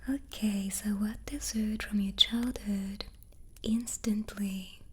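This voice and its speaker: A woman whispers the whole time in a soft, unvoiced ASMR voice, right up close to the microphone. She talks slowly and keeps her tone flat and steady, nibbling on cookies between answers. A faint hiss sits behind her. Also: medium pitch. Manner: snacking on cookies while talking. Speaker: ASMR host.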